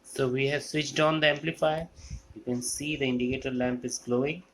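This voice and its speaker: A man giving an unscripted technical walkthrough as a hobbyist, explaining step by step in a halting way and repeating phrases. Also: medium pitch, steady tone, a bit bright. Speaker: electronics hobbyist demonstrating a restored amplifier. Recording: clear background noise with clicks.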